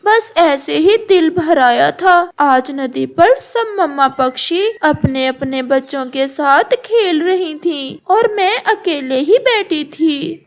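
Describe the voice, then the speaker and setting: A woman voicing a tender, sorrowful female bird wife in a cartoon moral story, with a plaintive, soft-hearted manner. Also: high voice, heavily acted. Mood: tearful.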